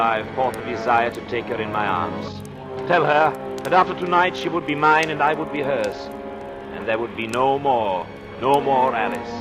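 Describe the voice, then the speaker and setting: Male actor for coldly murderous doctor in vintage radio suspense; intense, deliberate, highly animated pitch, music in the recording. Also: natural voice. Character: scheming married doctor coldly plotting his wife's murder. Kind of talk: 1940s radio thriller acting with first-person narration.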